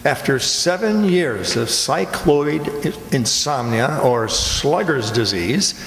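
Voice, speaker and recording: A man's voice: medium pitch, animated, takes it slowly, a bit bright. A man announcing and narrating a scripted old-time radio comedy in a reverberant hall. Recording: echoey hall, long reverb, background noise.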